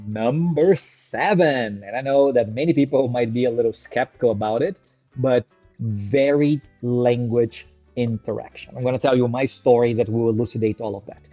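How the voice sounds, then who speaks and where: A man in his thirties from Brazil, a native Portuguese speaker, teaching casually to a webcam from a small room. His voice is mellow and warm, the sound is dry and slightly dull, and faint music sits underneath. He talks with energy, checks in with "right?" and stretches out each new list heading. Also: medium pitch, normal speed, no room sound. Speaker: educator presenting on infant care. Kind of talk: casual educational talk to camera. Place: small indoor room with a screen on the wall.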